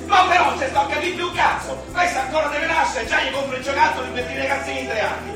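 A man's voice: very high-pitched, steady tone, fast. A man playing a volatile, foul-mouthed young Roman father who deals drugs, in a colloquial theatrical radio monologue with a Roman Italian accent. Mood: agitated, complaining. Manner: colloquial, crude, emotionally swinging. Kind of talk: theatrical radio drama monologue in slangy dialect. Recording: roomy, distant stage sound with loud music.

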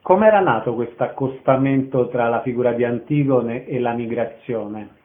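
A man presents a cultural interview show on the radio. His voice sits at a middle pitch, and the sound is thin and narrow, like a small speaker. He introduces guests and puts short questions to them. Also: normal speed.

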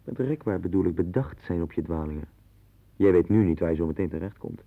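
An actor playing a blunt, domineering, somewhat pompous friend who lectures, in a 1960s Dutch radio play. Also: male voice, low voice, fairly quick, vocal fry. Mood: lecturing, scornful. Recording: tinny, band-limited 1960s radio recording.

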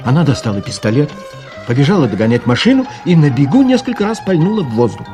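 A man's voice: on the high side, sing-song, fast, dark tone, soft background music. A man reading scripted literary narration for an audio play, telling the story expressively.

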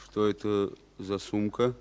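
An actor playing a modest, reserved Soviet battalion captain in a war radio drama, answering in terse replies. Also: male voice, fairly low pitch, steady tone, slow pace, darkish tone, clear, resonant tone, big-room sound. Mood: concerned.

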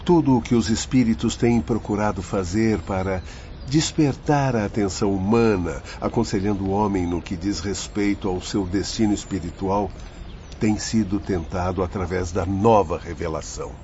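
A man's voice: sits low, normal speed, warm tone, lightly acted. A man acting a grave, scholarly spirit doctor in a radio drama, speaking formally and earnestly with a Brazilian accent. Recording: dull lo-fi recording, background noise.

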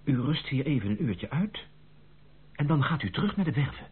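An actor playing an earnest, lovelorn gentleman of rank in a Dutch radio drama, speaking formally and with dramatic feeling. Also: mid-range voice, big pitch swings, normal speed, breathy edge. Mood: resolute, commanding. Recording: muffled, band-limited old radio recording.

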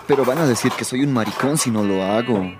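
A man's voice: medium pitch, fast talker, over music, natural voice. A man with a Bolivian accent acting an insecure, hesitant teenage boy torn between love and bravado in a radio drama. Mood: troubled. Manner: hesitant, slangy.

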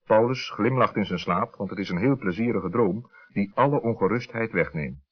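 A man's voice: on the low side, moderate pace, husky. Male children's storyteller on a muffled recording. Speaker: narrator. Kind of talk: scripted children's story narration. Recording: dull 1960s radio recording.

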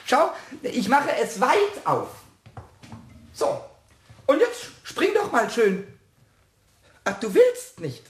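Children's radio play kobold: high, squeaky, boastful and touchy, stammers when excited, wildly animated delivery. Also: male voice, takes it slowly, pauses often, roomy sound, heavily acted. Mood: scornful, taunting. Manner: stammers and repeats words when worked up. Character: cheeky, childish little male kobold, easily offended and boastful.

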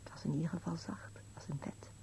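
An actress playing a dreamy, wistful old wife in a radio play, soft-spoken and gently persistent. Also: female voice, low voice, fairly even intonation, quick pace, whispered. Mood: wistful, teasing. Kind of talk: dialogue in an absurdist radio play. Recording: dull, noisy old radio play recording.

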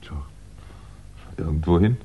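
An actor playing a wry, understated fugitive crime writer in a 1960s German radio play. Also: male voice, deep voice, measured pace. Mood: weary, muttering. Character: fugitive crime writer, dry, weary, polite man on the run. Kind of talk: 1960s German radio play acting and first-person narration. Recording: dull, noisy 1960s radio recording.

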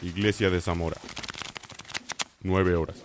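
A man's voice: sits low, little pitch movement, a little slow, background noise. A man giving terse scripted scene announcements in a radio drama.

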